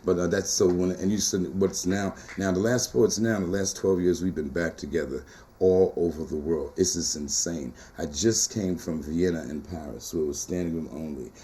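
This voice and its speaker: A middle-aged man with a tenor-baritone voice, talking in a casual interview as a poet and teacher, animated and emphatic with rhythmic repeated phrases. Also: moderate pace, slight background noise.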